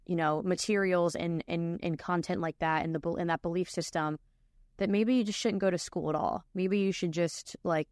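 Lady podcast host riffing on clips, lower voice, dry close sound, lots of "like". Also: steady tone, moderate pace, darkish tone, no room sound. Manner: chatty, frequent "like" fillers and restarts. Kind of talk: casual reaction commentary.

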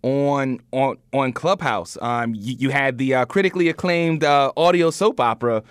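A man hosting a casual interview, feeling his way haltingly into his questions. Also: mid-range voice, clear, resonant tone, no room sound, clean studio sound. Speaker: podcast interviewer.

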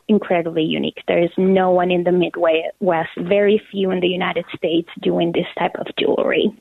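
A woman from Colombia, a small business owner talking eagerly in quick bursts as a guest in a phone interview. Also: on the low side, creaky, no echo. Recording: tinny phone line, dry.

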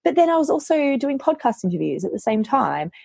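A woman author, hoarse from losing her voice, chatting casually on a podcast and telling lively stories, often quoting herself. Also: sits high, a little fast, dry recording, clean studio sound. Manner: animated storytelling, quotes herself.

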